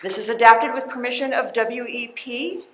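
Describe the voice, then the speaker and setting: A woman's voice: a little high, fairly even intonation, normal speed, a little hiss or hum. A woman reading scripted narration for a radio play. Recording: echoey live room, faint music.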